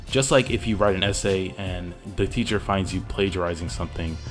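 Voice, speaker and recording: A man's voice: low voice, fairly quick. A man giving informal, conversational commentary as a reviewer in a loosely scripted video essay. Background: background music.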